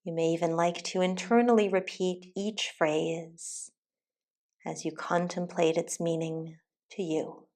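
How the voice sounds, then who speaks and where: A woman teacher leading a guided meditation in a calm, soothing, deliberate way with long pauses. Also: lowish voice. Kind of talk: guided meditation, soft instructional narration.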